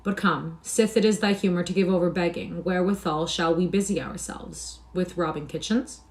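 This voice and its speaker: A woman reading aloud and voicing a rough, scheming young male thief and beggar with a scoffing, swaggering manner. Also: lowish voice, moderate pace, darkish tone, lightly acted. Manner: archaic swaggering speech, scoffing.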